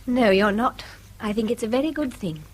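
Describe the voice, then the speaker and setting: A husky, weary wife. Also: female voice, fairly high pitch, normal speed. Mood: fond, yielding. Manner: weary, earnest. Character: tired, strained schoolmaster's wife, fond but fretful. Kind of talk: radio play acting.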